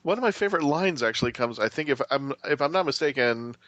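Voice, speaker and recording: A man's voice: mid-range voice, lively intonation, fast, fairly bright tone, no room sound. A man talking casually as a panelist on a fan podcast.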